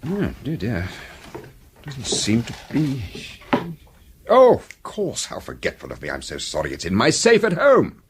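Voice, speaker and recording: An actor playing a formal, obliging English solicitor in a British radio drama, speaking politely with a Received Pronunciation accent. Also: male voice, mid-range voice, wildly swooping pitch, measured pace, breathy edge, exaggerated voice. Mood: polite, confidential. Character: courteous, discreet English solicitor, a man of business.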